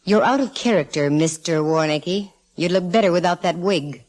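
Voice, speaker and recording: A woman's voice: fairly low pitch, normal speed, darkish tone, lightly acted. A woman playing a gutsy, wisecracking private detective in 1940s radio drama, with a breezy, sardonic, hard-boiled manner. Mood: cool, sardonic. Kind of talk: 1940s radio acting with first-person narration.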